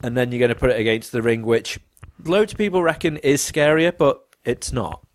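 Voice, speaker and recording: A man co-hosting a casual chat, talking animatedly with sharp jumps in pitch and some swearing. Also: fairly quick, dry recording. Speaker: podcast co-host.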